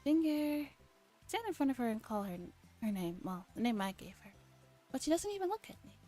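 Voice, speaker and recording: A woman streamer reading a visual novel aloud in a soft ASMR style, often dropping to a whisper. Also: sits high, sing-song, a little fast. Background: background music.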